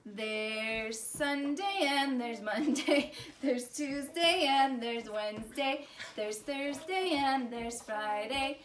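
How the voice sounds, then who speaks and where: A woman's voice: sits high, takes it slowly, few pauses, fairly bright tone. A woman hosting a preschool story time, talking to young children in a sing-song teacher's manner with simple questions. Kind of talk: children's lesson and read-aloud. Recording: roomy, distant mic with faint noise.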